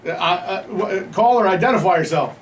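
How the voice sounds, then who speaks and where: Fast-talking man hosting sports radio banter. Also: sits high. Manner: informal, slangy, bantering. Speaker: sports talk show host. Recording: distant mic, faint background noise.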